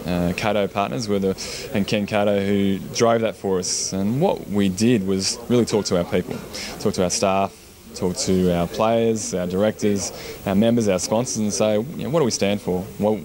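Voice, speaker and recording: A man's voice: on the low side, steady tone, normal speed. A man with an Australian accent answering interview questions as a club executive. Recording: busy crowd chatter behind.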